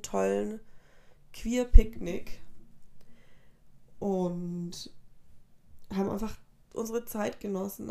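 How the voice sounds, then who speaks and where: A woman in her late twenties hosts her own podcast in a soft, rambling monologue. She sounds tired and often corrects herself mid-thought. Her voice has a gravelly, fry-touched edge at a middling pitch. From Colombia. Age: 20s. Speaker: podcast host. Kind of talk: casual personal monologue.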